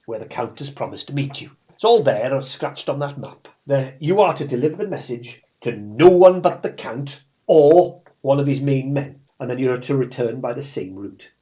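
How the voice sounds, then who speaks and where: A man's voice: medium pitch, animated, normal speed, few pauses, mellow, lo-fi sound, lightly acted. A man voicing Merlin, an old male wizard, with a put-on Welsh accent, giving orders. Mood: commanding. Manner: commanding, briefing tone.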